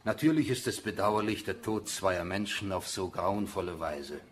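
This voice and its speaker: An actor playing a grave, indignant pastor, earnest and moralizing, in a radio play. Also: male voice, low voice, expressive, moderate pace, a little hiss or hum. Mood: grave indignation. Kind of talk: radio drama acting.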